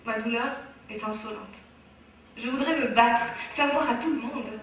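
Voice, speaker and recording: A woman's voice: a little high, moderate pace, a bit bright, a little hiss or hum. A Moroccan woman on stage delivering a rhythmic, impassioned spoken-word slam in a large hall. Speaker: speaker. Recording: very echoey hall, from far off.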